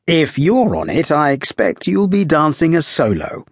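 A man voicing a ship's third officer, brisk, clipped and politely firm. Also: a little high, huge pitch swings, breathy edge, no echo, clean studio sound, voice pushed up, heavily acted.

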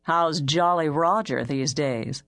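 A narrator plays a breezy, outspoken woman chatting with her friend in an audiobook. She is flippant and blunt, in a slightly low voice. The sound is dry. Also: fairly bright tone, no room sound, full character voice. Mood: breezy, teasing. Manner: flippant, brisk. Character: breezy, blunt, twice-married female friend.

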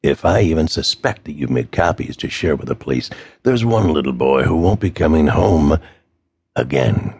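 Man doing a very deep gravelly villain, slow cold threats, husky edge. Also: animated, a little mellow, dry recording, clean studio sound, exaggerated voice. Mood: menacing. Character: menacing gravelly male phone caller, villain.

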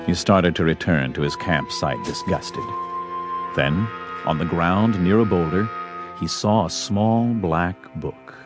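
A man narrating a Western story as a scripted audio drama. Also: low-pitched. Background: music.

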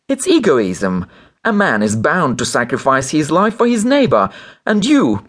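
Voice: mid-range voice, fairly bright tone, pitched above the performer's own voice, heavily acted. A reader voicing a distraught young wife, pleading in a tearful, urgent way, in a story.

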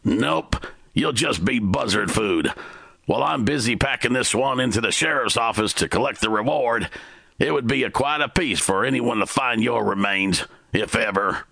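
A man voicing a gruff, suspicious gunman who barks threats in a Western story. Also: mid-range voice, lively intonation, normal speed, bright, forceful tone, dry recording, pitched above the performer's own voice, full character voice. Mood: menacing. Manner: barking, threatening.

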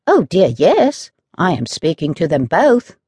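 A woman voicing a cheerful, sly, self-satisfied village girl in a children's storybook, with a slow, pleased manner. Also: fairly low pitch, very animated, dark tone, husky, dry recording, clean studio sound, exaggerated voice. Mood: pleased, confiding. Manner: slow, affectionate, pleased delivery.

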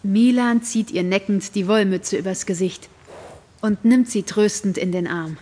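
A woman narrating a radio play in a calm storytelling manner. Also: mid-range voice, measured pace, breathy edge.

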